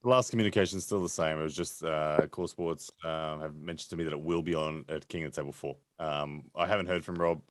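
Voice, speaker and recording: Casting: extremely deep-voiced guest, hesitant, dry close sound. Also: male voice, steady tone, fast, few pauses, no room sound. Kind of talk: casual chat.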